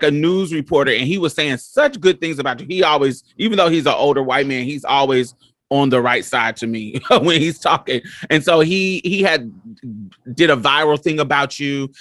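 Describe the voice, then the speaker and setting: Chatty man interviewer, higher voice, dry sound. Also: a bit bright, no echo. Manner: chatty, rambling questions with fillers. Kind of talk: conversational interview.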